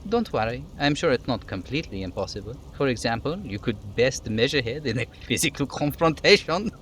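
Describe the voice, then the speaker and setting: A man's voice: mid-range voice, lively intonation, a little fast, fairly bright tone, slight background noise, voice pushed up, lightly acted. A man voicing a chatty, easygoing striking union worker in a video game, with a folksy, teasing manner.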